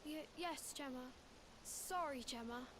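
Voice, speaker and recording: A woman's voice: sits high, slow pace, noisy background. A woman playing a timid, sheepish village child in a fantasy video game. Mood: apologetic, sheepish.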